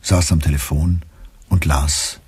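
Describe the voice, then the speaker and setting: Deep, husky radio play narrator. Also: male voice, little pitch movement, slow pace, a little mellow, no room sound.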